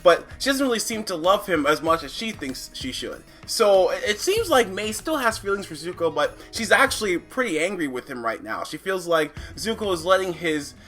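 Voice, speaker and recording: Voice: medium pitch, sing-song, moderate pace, few pauses, fairly bright tone. A reviewer giving casual, opinionated commentary in a conversational way, thinking aloud with frequent asides. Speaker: comic book reviewer. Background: background music.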